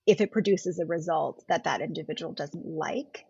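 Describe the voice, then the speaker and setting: Middle-aged woman, German first language, husky voice, measured guest speaker, dull lo-fi podcast sound. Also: sits low, a little mellow, no echo. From India. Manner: thoughtful, measured, often rephrasing. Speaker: political scientist guest. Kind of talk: podcast interview.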